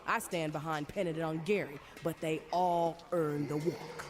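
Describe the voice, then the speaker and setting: An actor playing a coldly pragmatic, unforgiving survivor in a video game, speaking bluntly and decisively. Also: male voice, on the high side, steady tone, normal speed, background noise, natural voice. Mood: stern, unrepentant. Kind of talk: dramatic video game monologue.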